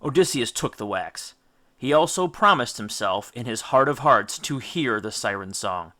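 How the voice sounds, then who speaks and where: A man reading an audiobook as dramatic storybook narration, theatrical and deliberate. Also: medium pitch, animated, bright, forceful tone. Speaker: audiobook reader.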